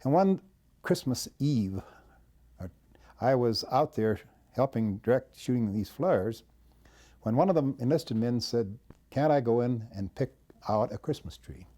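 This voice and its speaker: An elderly American man, a war veteran, recounting his memories in a plainspoken, measured storytelling way. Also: mid-range voice, expressive, mellow. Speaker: war veteran, former air traffic controller. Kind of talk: recounting wartime memories and describing photographs.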